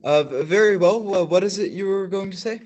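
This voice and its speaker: Brief: a young man as a jittery reporter, high and quick, tripping over his words. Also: a little mellow, dull audio, lightly acted. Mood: nervous. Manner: stammering, hesitant. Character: nervous stammering male radio reporter. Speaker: student actor. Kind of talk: stage radio-play acting.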